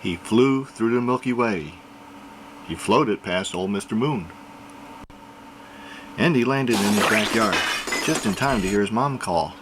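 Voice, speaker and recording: A man reading a children's picture book aloud in an expressive storytelling manner. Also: on the low side, unhurried, pauses often, noisy background. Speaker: reader.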